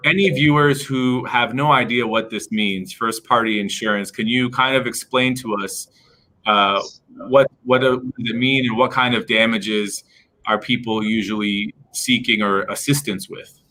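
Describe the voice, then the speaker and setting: A man's voice: medium pitch, steady tone, unhurried, sharp tone, low-quality recording. A man hosting a live-stream interview, asking long, conversational questions. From Palestinian background. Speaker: host, attorney.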